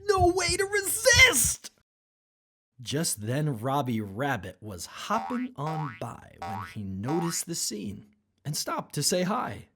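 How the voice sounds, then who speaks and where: A woman's voice: deep voice, huge pitch swings, slow pace, a bit bright. A woman narrating a rhyming children's storybook as a scripted read-aloud.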